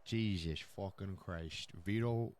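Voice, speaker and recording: A man's voice: deep voice, slow pace, a little mellow. A man playing a profane, commanding Italian-American mob boss in improvised roleplay, repeating himself for emphasis. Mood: impressed. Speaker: streamer playing a crime boss in online roleplay. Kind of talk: improvised in-character roleplay dialogue.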